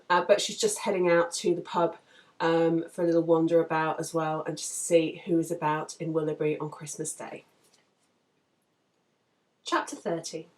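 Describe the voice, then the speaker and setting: A woman reading her own novel aloud in an expressive storytelling style, livelier when characters speak. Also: fairly low pitch, rough recording.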